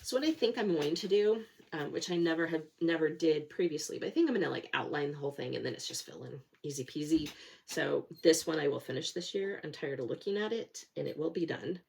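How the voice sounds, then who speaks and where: A woman's voice: low-pitched, animated, brisk, vocal fry, a little mellow, slight background noise. A middle-aged woman chatting casually about her cross-stitch projects, a little nasal from a cold, rambling and self-deprecating with plenty of fillers. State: nasal from a cold, sore throat. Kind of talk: casual chat to camera.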